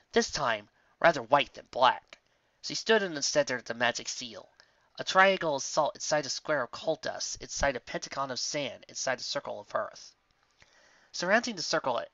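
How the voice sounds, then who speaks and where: A man's voice: mid-range voice, vocal fry, fairly bright tone, no room sound, dull audio. A man narrating a story expressively from a script.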